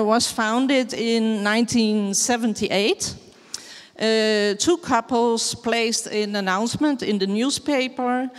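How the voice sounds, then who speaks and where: Dutch woman speaker, slow and halting, clear voice, hall echo. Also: fairly high pitch. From Netherlands. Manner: hesitant, searching for words in English. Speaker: farmer giving a presentation. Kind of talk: slow halting presentation with many fillers. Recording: long hall reverb.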